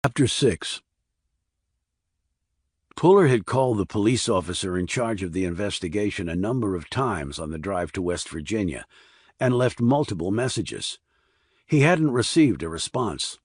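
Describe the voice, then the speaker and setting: A man reading a scripted crime thriller as an audiobook narrator, measured and restrained. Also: on the low side, lively intonation, husky, dry recording, studio quality.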